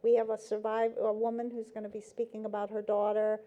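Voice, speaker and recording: A woman guest on a talk show, her voice very warm and dark. She explains earnestly and at length, pausing on "um"s and "you know"s. Her pitch is typical for a woman. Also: monotone. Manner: earnest, explaining, with many fillers. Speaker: guest from a nonprofit. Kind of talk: casual interview chat.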